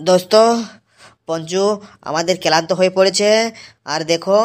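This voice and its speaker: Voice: medium pitch, fairly even intonation, moderate pace, natural voice. An eager young cartoon sidekick put on by a performer chats. He is agreeable and repeats his words, in a comic mock-vlog style. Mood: weary, chatty. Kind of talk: cartoon comedy dialogue, mock vlogging.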